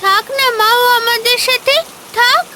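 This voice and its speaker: A woman voicing an earnest little village girl in an animated moral story, with simple, pleading speech. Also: very high-pitched, a little slow, slight background noise, full character voice.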